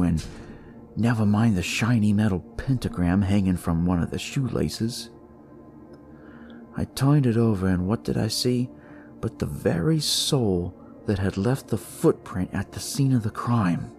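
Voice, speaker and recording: A man acts out a detective narrating a dark audio story in a low, gravelly voice. He is theatrical yet conversational, recounting it all in the first person, with music playing behind him. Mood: intrigued, sardonic. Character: male detective recounting a sinister, eerie discovery.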